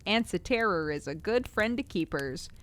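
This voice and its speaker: A woman voicing a gruff working-class male zookeeper, dropping his h's in a slow drawl. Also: medium pitch, expressive, a bit bright, slight background noise, lightly acted. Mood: uneasy. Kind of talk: reading a Victorian tale aloud.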